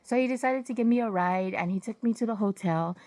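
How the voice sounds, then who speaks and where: A woman recovering from a cold, sniffly, telling travel testimonies in a halting, casual way with many fillers and self-corrections. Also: medium pitch, darkish tone, dry recording, rough recording. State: getting over a cold, sniffling. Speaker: vlogger sharing travel testimonies.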